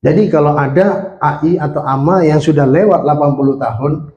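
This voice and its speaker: A man's voice: a little high, brisk, a little mellow, dull audio. Echoey preaching man in his forties. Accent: Indonesian. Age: 40s. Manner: engages the congregation with questions and amen calls. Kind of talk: sermon. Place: church. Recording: echoey church hall.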